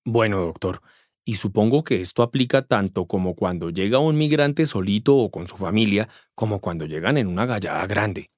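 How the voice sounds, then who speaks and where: A man's voice: lowish voice, animated, normal speed, dry recording, clean studio sound. A man with a Colombian accent playing a curious, folksy neighbor who asks about migration rights in slangy everyday speech. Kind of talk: scripted radio drama dialogue.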